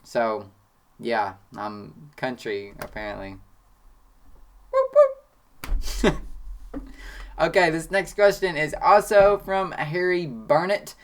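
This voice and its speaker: A sixteen-year-old boy from Kentucky with a country accent, chatting excitedly as he answers viewer questions from his home studio. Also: on the high side, takes it slowly, pauses often. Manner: excitable, chatty, defensive then joking. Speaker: photography channel host. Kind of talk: casual Q&A reading comments.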